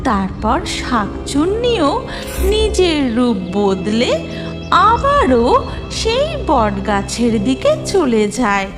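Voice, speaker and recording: A woman narrating a scripted cartoon story, breaking sentences into short phrases with dramatic pauses. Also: sits high, wildly swooping pitch. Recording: music under the voice.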